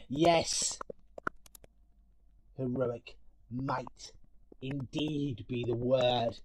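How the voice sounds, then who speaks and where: A man playing an ugly, spiteful monster in an audio story, delivering a bitter, theatrical monologue of revenge with deliberate menace that swings between hiss and shout. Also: medium pitch, natural voice. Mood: grandiose, bitter. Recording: noisy amateur home recording with clicks.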